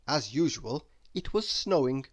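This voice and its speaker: A narrator reading a children's story aloud in an expressive, scripted storytelling style. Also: mid-range voice, measured pace, dry recording, studio quality. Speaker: storybook reader.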